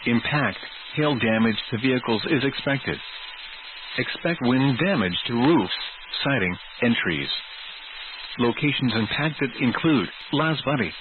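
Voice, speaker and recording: Male weather radio announcer, heavy static. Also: medium pitch. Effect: weather radio broadcast. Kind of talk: scripted emergency weather bulletin. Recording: noisy, narrow phone-like radio signal.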